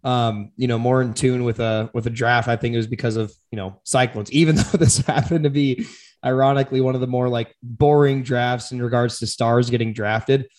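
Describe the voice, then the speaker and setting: A young man talking sports with a friend in a loose, slangy way. His voice is a little worn from a busy weekend. He gets animated and laughs easily. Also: medium pitch, normal speed. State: voice partly lost. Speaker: podcast co-host. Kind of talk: casual sports chat.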